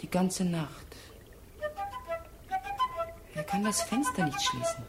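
An actress in a German radio drama playing a wounded, wistful woman who talks in expressive short phrases. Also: on the low side, slow, loud music behind the voice, full character voice.

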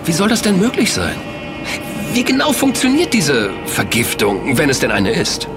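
An actor playing a sceptical, excitable man who protests in colloquial, incredulous dialogue in an audio drama. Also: a little high, moderate pace, music in the background, natural voice. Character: sceptical, excitable male sugar lover named Kim.

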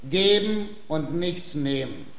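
In a roomy, echoing recording, a boastful fencing master from a radio play. His voice is high for a man, with pompous, declamatory delivery and a quarrelsome swagger. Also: lively intonation, slow, vocal fry, heavily acted. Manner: pompous, declamatory, barking drill commands. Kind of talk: German radio play acting, classical comedy. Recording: roomy, echoing radio drama with faint noise.

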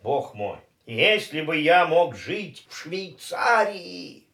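An actor playing an elderly, thrifty, disapproving uncle in a radio play, reproachful and halting. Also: male voice, a little high, big pitch swings, creaky, fairly bright tone, reverberant, exaggerated voice. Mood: fretful, reproachful. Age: older.

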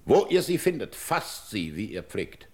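A brooding, commanding king in a classical radio drama. His voice sits very low and he declaims verse at a slow pace, full of wounded anger. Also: big pitch swings, a little mellow, breathy edge, full character voice. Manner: declamatory, measured verse delivery.

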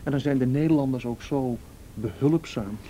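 Male saboteur, played by an actor, Netherlands Dutch, thoughtful and dryly ironic, mellow mid-pitched voice, slightly noisy intimate radio play. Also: moderate pace, lightly acted. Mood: wry. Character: quietly determined, brooding male saboteur. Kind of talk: intimate radio drama acting. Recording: noisy radio drama recording, low rumble.

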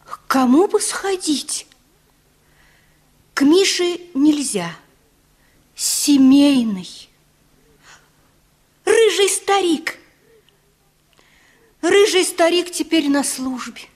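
A woman's voice: high-pitched, expressive, drawn-out words, long pauses, a little mellow, exaggerated voice. An actress playing a brash but anxious young woman down on her luck, musing theatrically to herself in a radio play. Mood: pondering.

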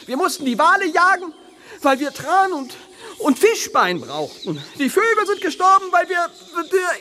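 An actor playing a panicky, defensive man on trial in a radio play, excitable and stammering as he justifies himself. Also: extremely high pitch, normal speed, full character voice. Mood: defiant, defensive. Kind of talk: German radio play acting.

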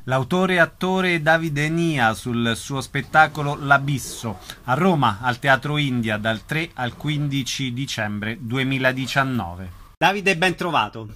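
A man's voice: medium pitch, lively intonation, unhurried, pushed and bright, slight background noise. A man hosting a radio programme, announcing and putting questions to a guest. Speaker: radio host and interviewer.

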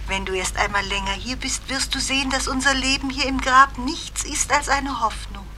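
An actress playing an older dead mother-in-law, gentle, calming and resigned, in a radio drama. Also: high-pitched, moderate pace, slight background noise. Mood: solemn, earnest. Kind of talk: theatrical radio drama acting.

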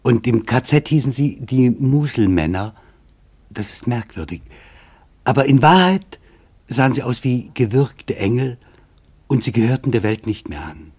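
A sombre reporter recounts testimony in a literary radio play. His voice is husky and sits in a middle register. The delivery is grave, measured and reflective. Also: mid-range voice, very animated, darkish tone. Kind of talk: scripted literary radio narration.

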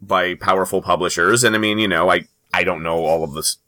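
A man with a very deep voice that still sounds bright and forceful. He chats casually as a podcast co-host, rambling through his thoughts, circling back and qualifying what he says. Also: fairly even intonation, a little fast. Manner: rambling, hedging, self-interrupting. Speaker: comics news podcast co-host. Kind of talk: casual panel chat.

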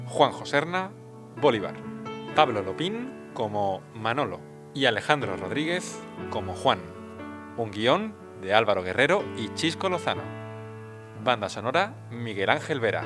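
Measured man reading credits over guitar. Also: mid-range voice. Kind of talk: scripted announcement. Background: guitar music.

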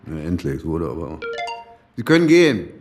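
A man playing a gruff, impatient, sceptical police chief in a radio crime drama, brusque and bossy. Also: extremely low pitch, wildly swooping pitch, brisk, music in the background, full character voice.

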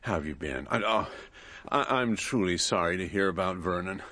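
Low, animated, insistent man. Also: moderate pace, heavily acted. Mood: earnest wooing. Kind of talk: radio drama acting.

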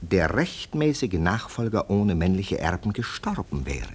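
A self-assured nineteenth-century police lieutenant in a radio drama. His husky voice swings widely in pitch, with a sardonic, theatrical edge and open scorn. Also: sing-song, a little slow, exaggerated voice. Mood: confiding. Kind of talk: German radio play acting.

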